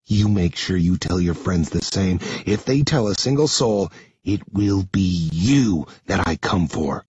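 A man acting a forceful, threatening teenage hero. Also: sits low, lively intonation, measured pace, slightly rough, no room sound, highs cut off, pitched below the performer's own voice, heavily acted. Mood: low, menacing. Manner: forceful, commanding. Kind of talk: dramatic first-person audiobook dialogue.

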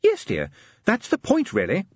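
A man voicing a calm, gently firm noblewoman, speaking in a patient falsetto. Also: moderate pace, breathy edge, no room sound, lo-fi sound, exaggerated voice.

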